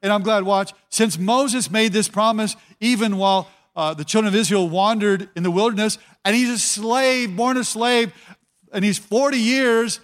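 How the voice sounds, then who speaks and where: High-voiced man preaching a sermon. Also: normal speed. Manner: conversational, repeats words, urges listeners to "watch". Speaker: pastor.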